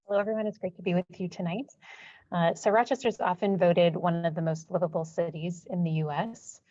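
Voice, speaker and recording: Woman, webinar presenter, slightly low voice, muffled lo-fi call audio. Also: steady tone, a little fast, warm tone, no room sound. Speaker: associate program director. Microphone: video call.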